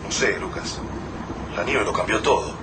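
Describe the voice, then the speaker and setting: A man's voice: deep voice, animated, moderate pace. A man with a Rioplatense accent plays a cautious, doubting survivor in a radio drama. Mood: doubtful. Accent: Rioplatense Spanish. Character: wary male survivor at the wheel. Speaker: Juan, a survivor driving a truck. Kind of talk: amateur radio drama acting. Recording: distant mic with loud noise.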